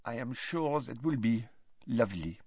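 A man's voice: medium pitch, expressive, moderate pace, breathy edge, no room sound, highs cut off. A man voicing a proud, fierce young bride who speaks in a haughty, formal way. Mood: stiff. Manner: haughty, forceful, formal phrasing.

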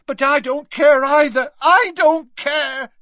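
A man's voice: extremely high pitch, slow, pushed and bright, no echo, lo-fi sound, pitched above the performer's own voice, heavily acted. A man voicing a desperate young woman whose voice is strained and pleading.

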